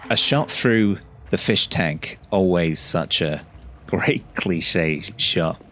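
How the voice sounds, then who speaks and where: Deep, unhurried man commenting on a game. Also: fairly bright tone, a little hiss or hum. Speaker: gaming streamer. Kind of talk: casual gameplay commentary.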